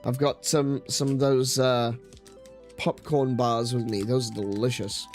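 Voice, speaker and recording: Male streamer host, mid-pitched, slightly strained croaky voice, loose rambling delivery full of fillers, faint music under. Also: flat delivery, measured pace. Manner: rambling, hesitant, many fillers and restarts. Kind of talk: casual live-stream chat.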